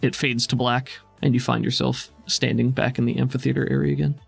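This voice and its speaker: A man's voice: mid-range voice, little pitch movement, fairly quick, vocal fry, breathy edge, faint music behind. A man narrating as game master of a tabletop roleplaying game, explaining rules in a casual, conversational way.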